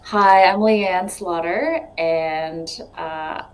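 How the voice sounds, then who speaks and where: Brisk woman, native Cantonese speaker. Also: lowish voice. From Canada and US. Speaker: science content writer and coach. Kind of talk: self-introduction on a video call. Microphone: video call.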